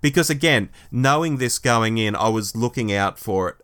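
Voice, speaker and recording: A man's voice: mid-range voice, expressive, moderate pace, fairly bright tone, smooth. A man co-hosting a podcast, talking casually as he compares and discusses stories. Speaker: podcast co-host. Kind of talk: casual book review discussion.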